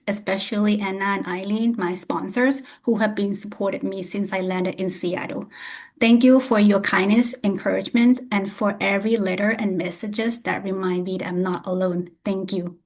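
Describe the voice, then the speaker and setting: A woman's voice: mid-range voice, steady tone, moderate pace, darkish tone. A woman from Thailand with a Thai accent, an international student giving an earnest prepared self-introduction. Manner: earnest and grateful, slightly non-native grammar.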